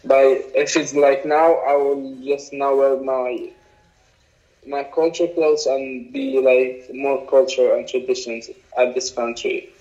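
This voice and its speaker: A young man with an Arabic accent, a native Arabic speaker, answering interview questions in a halting way with many fillers. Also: medium pitch, fairly even intonation, dark tone. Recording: dull lo-fi recording.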